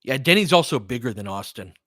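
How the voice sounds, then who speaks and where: A man's voice: medium pitch, big pitch swings, fast, bright, forceful tone, dry recording, clean studio sound. A man giving live basketball play-by-play and casual analysis as a candid, colloquial fan commentator who reacts openly and swears.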